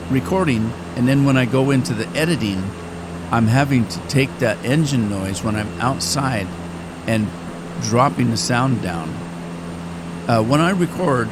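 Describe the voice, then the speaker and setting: An older man talking casually while playing a game, rambling with pauses and restarts. He says his voice has grown deeper and cracks more with age. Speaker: gaming channel host. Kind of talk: casual gameplay commentary. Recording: engine noise behind the voice. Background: flight simulator engine noise.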